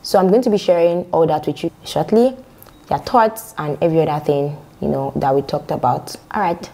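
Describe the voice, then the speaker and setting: A woman's voice: low voice, fairly quick, creaky, warm tone, slight background noise. A woman from Nigeria, talking to her subscribers in a friendly, chatty way and then asking passers-by how they feel. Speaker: vlogger and medical resident. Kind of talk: direct-to-camera vlog intro, then street interviews.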